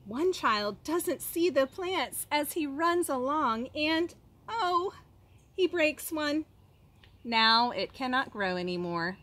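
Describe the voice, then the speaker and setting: A woman reading a children's picture book aloud like a kindergarten teacher, expressively, with dramatic pauses and questions for young listeners. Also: sits high, lively intonation, bright, forceful tone.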